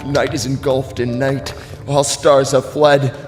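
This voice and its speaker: An actor playing an anguished, ailing father in a classical tragedy, declaiming grave, halting verse in a grandiloquent way. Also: medium pitch, little pitch movement, darkish tone, lightly acted. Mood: fearful, pleading. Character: anguished ailing father, estranged brother of a tyrant king. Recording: long hall reverb, music underneath.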